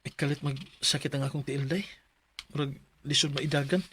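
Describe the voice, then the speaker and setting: A man's voice: fairly high pitch, little pitch movement, moderate pace, pushed and bright, slight background noise. A man acting an earnest married man in his thirties who tells his troubles in a radio drama. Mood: troubled. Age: 30s. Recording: music bed under voice. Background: music.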